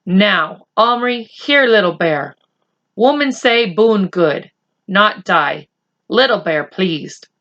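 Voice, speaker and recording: A proud, commanding little chief from a children's storybook, played by a woman reader. He talks in short, blunt broken phrases at an unhurried pace, on a slightly dull recording. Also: medium pitch, animated, fairly bright tone. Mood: pleased, commanding. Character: tiny proud Native chief, male, terse and commanding.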